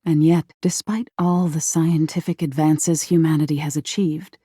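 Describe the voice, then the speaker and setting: A woman voicing an eerie, thoughtful nightmare spirit in a video game, measured and breathy, often slipping toward a whisper. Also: deep voice, level tone, mellow, dry recording, studio quality. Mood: musing, softly menacing. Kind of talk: scripted game character acting, intimate second-person storytelling.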